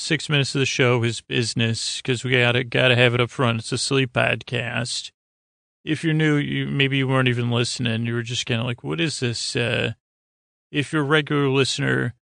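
Creaky-voiced man rambling through a bedtime monologue. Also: medium pitch, fairly bright tone, dry recording, studio quality. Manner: stammering, repeats and trails off mid-thought. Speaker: host of a bedtime sleep podcast.

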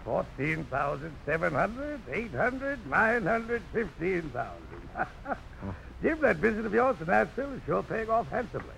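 An actor playing a blustery, fatherly general and gentleman duelist in a 1950s radio drama. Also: sits high, big pitch swings, a little slow, vocal fry, exaggerated voice. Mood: jovial. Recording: noisy old radio broadcast.

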